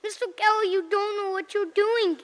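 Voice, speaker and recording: A woman's voice: very high-pitched, steady tone, moderate pace, noisy background. A woman playing an earnest, frightened young boy in a pleading manner, in a 1940s style radio drama. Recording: reverberant church hall, live stage.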